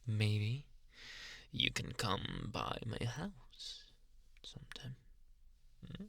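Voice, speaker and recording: A man playing a possessive yandere psychiatrist in an ASMR roleplay, soft-spoken, polite and quietly menacing, with hushed, insinuating questions. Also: lowish voice, creaky, husky, no echo, heavily acted. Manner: slow, hushed, insinuating, many pauses and questioning hums. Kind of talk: ASMR roleplay, close whispering.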